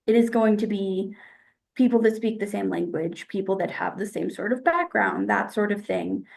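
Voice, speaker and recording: A young woman from the United States answers interview questions in a casual, thoughtful way, pausing often to find her words. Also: mid-range voice, creaky, low-quality recording. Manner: thoughtful, hesitant, with fillers.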